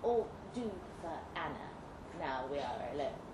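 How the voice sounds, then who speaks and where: A suave Victorian lord performed by a woman, coolly cruel and mocking in formal, old-fashioned phrases. The pitch sits low, and the live story reading rings in a roomy, noisy space. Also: very animated, a little slow. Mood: coolly menacing. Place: indoor live literary event.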